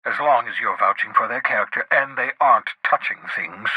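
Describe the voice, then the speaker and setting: An actor playing a cantankerous, socially awkward scientist in a video game, raspy, with a fussy, wordy, theatrical delivery. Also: male voice, mid-range voice, a little fast, dry recording, narrow, tinny audio, lightly acted. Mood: grudging praise. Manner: fussy, wordy, dramatic, with muttered asides.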